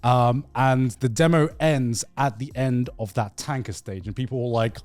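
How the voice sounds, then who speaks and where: A man chatting casually about video games as a podcast host, telling it in an animated, profane way with halting repeats. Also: medium pitch. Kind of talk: casual video game chat.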